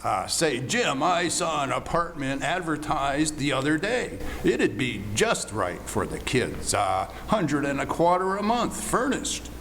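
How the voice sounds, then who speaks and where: Man as henpecked, agreeable radio-comedy husband; unhurried, deferential, reverberant hall. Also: on the high side, sing-song, fairly bright tone, exaggerated voice. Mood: practical. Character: meek, agreeable henpecked middle-aged husband and father. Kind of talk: old-time radio comedy acting. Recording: reverberant hall with faint noise.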